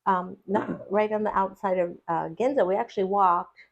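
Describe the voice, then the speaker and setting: A woman's voice: medium pitch, steady tone, moderate pace, creaky, highs cut off. A middle-aged woman, a podcast guest, chatting casually and rambling through stories with easy laughs. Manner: chatty, rambling storyteller who laughs easily. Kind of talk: casual conversation.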